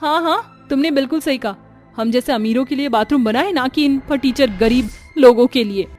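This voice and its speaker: A woman's voice: sits high, fairly quick, darkish tone, soft background music, natural voice. A woman voicing a haughty, indignant young rich daughter-in-law in a cartoon story, scornful and complaining.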